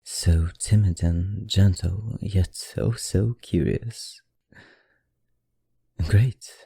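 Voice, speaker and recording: ASMR roleplay: male actor as a centuries-old vampire librarian, husky and close-miked dry, old-fashioned courtesy, softly teasing, affected accent. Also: sits low, drawn-out words, dry recording, heavily acted. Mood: gently teasing. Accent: put-on, not his own. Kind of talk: ASMR roleplay, addressing the listener.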